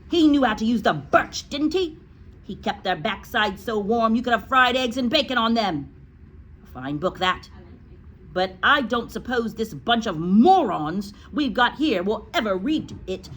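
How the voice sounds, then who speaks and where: A woman voicing a cruel, bullying headmistress in a storybook, loud, emphatic, scornful and gloating. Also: fairly high pitch, slight background noise, voice pushed up.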